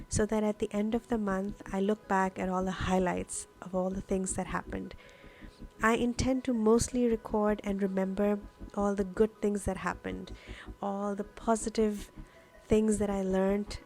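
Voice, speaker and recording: A woman who grew up speaking Urdu narrates a craft process in a casual, explanatory way. Her medium-pitched voice has a noticeable gravelly creak. Music plays behind her voice-over. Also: dark tone. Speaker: journaling vlogger, host.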